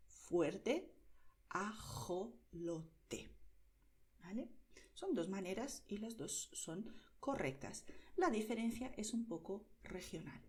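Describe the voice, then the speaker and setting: A woman from Spain with a Madrid accent teaching Spanish pronunciation, demonstrating words carefully, sometimes softly, and checking in with "¿vale?". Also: medium pitch. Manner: demonstrates words, often softly whispered, asks "¿vale?" constantly. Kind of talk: slow, careful pronunciation lesson.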